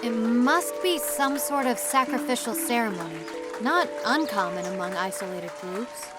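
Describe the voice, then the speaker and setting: A woman's voice: a little high, animated, normal speed, over loud music, a loud crowd, lightly acted. A woman playing a skeptical, scientifically minded expedition companion in an audio drama. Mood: skeptical, analytical.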